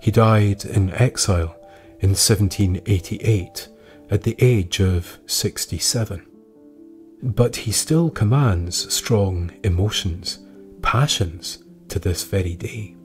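A man reading scripted historical tales as a podcast narrator, measured and with dramatic pauses. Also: fairly low pitch, faint music behind.

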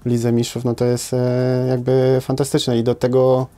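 A man's voice: medium pitch, completely flat delivery, normal speed, warm tone, clear, resonant tone. A man in his thirties, a footballer guest, answering casually and hesitantly, with many fillers and tag questions, in a small studio. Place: small studio with brick wall.

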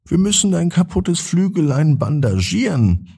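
A man voicing a kind, caring young boy in a bedtime story, speaking gently and with concern. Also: on the high side, very animated, measured pace, gravelly, slightly rough, voice pushed up, exaggerated voice. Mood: gentle concern.

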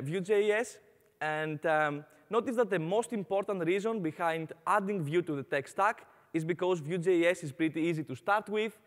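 A man's voice: high voice, big-room sound. A young man from Greece with a Greek accent giving a conference talk on stage, upbeat, with frequent "uh" fillers. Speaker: conference speaker. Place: large conference hall on stage.

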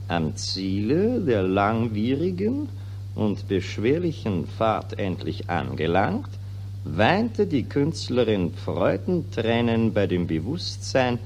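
An actor playing a pushy, bombastic newspaper reporter who declaims and bullies in a 1940s radio play. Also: male voice, mid-range voice, slight background noise. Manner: declamatory, dictating, bullying. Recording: old 1940s radio recording.

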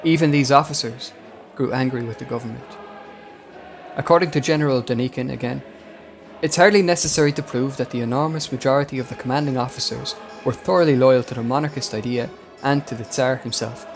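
A man's voice: mid-range voice, brisk, faint music behind. A man reading a scripted history narration as the host of a podcast.

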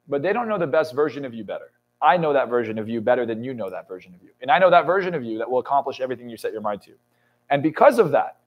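A man in his twenties, a motivational speaker and coach, talking emphatically in a studio interview and swearing often. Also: sits high. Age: 20s. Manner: intense, emphatic, swears often, builds to excited peaks. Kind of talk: motivational talk in a podcast interview. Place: indoor studio lounge set.

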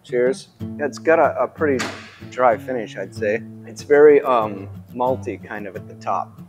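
A man's voice: medium pitch, normal speed. Man, casual taster, chatty and playful, faint music behind. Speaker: coworker taste tester. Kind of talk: casual tasting comments. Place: brewery taproom. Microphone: lapel mic.

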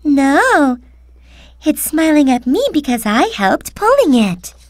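A woman's voice: sits high, very animated, slow, no room sound, exaggerated voice. A woman voicing a curious, sweet little granddaughter in a storybook, eager and questioning. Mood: insistent.

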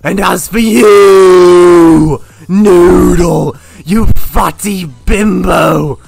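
Man playing cartoon game-show host, gravelly edge, higher male pitch, whiny, hot-tempered, insulting, over-dramatic, amateur web animation. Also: measured pace, fairly bright tone, faint music behind. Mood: shouting rage.

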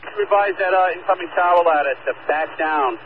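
Male firefighter on radio, quick clipped reports, slightly high voice, tinny band. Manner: clipped, procedural. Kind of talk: terse emergency radio reports. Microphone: two-way radio. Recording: very noisy, tinny fire radio transmission.

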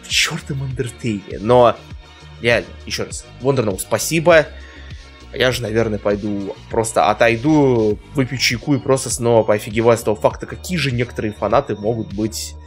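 A man's voice: mid-range voice, moderate pace, faint music behind. A young man giving casual reaction commentary in an emphatic, exclamatory, informal way. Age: young adult. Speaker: reaction video host. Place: small furnished room at home.

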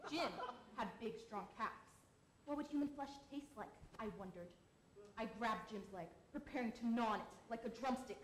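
A woman's voice: on the high side, moderate pace, close to an everyday voice. A woman playing a self-important talking adventure memoir in a comic sketch, melodramatic and aggrieved. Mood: grave storytelling. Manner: melodramatic, indignant. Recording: echoey room with faint noise.

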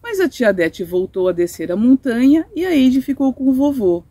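A middle-aged Brazilian woman reads a story for children in simple, explanatory phrases. Her voice is fairly high and very lively in intonation, with a soft, mellow tone. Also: normal speed, breathy edge, dry recording. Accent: Brazilian Portuguese. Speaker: storyteller reading a children's audiobook. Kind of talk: expressive children's story reading. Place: outdoors in a sunny garden patio, seated.

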